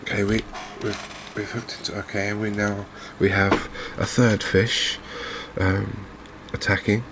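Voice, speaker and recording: A man giving calm, understated, softly spoken ASMR commentary on a video game, in an unhurried way. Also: lowish voice, noisy background. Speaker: gaming video host.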